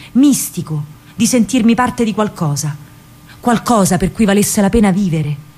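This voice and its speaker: A woman playing a disillusioned, fatherly older Roman man in a radio monologue, speaking gravely and deliberately in a hushed way with a Roman accent. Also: medium pitch, close to an everyday voice. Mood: bitter, grave.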